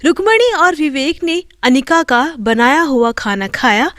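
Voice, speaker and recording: A woman's voice: high-pitched, animated, unhurried, a bit bright, no room sound, clean studio sound. A woman storyteller reading scripted narration for a moral tale.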